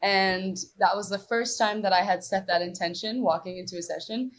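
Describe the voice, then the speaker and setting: A woman's voice: mid-range voice, moderate pace, a little hiss or hum. Chatty young woman from Morocco. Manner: chatty, many "like" fillers and restarts. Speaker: singer-songwriter, guest. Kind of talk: casual interview answers.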